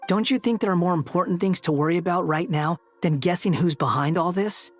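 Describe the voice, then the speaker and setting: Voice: medium pitch, fairly quick, dry recording, soft background music, lightly acted. A performer playing a tense, impatient character in a video game, urgent and reproachful. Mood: anxious impatience. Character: tense, blunt, impatient crisis responder.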